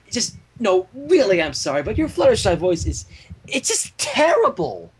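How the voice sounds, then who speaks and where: A young boy dragon from a cartoon storybook, read by a man, pitched fairly high. He is cheeky yet earnest and apologetic, with a lively, swinging delivery. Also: normal speed, husky, heavily acted, low-quality recording. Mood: apologetic, amused.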